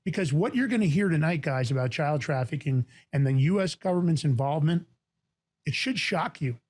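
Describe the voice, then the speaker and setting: A man from the Bronx with a New York accent, hosting a talk show in a fast, emphatic, indignant way. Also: fairly high pitch, expressive, a little mellow, husky. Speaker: podcast host.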